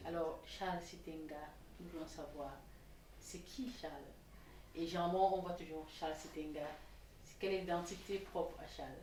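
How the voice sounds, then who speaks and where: A woman hosting a TV interview, lively and quick to laugh. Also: on the low side. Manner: warm, lively, laughs easily. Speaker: TV host. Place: TV studio set. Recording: roomy, distant mic, faint noise.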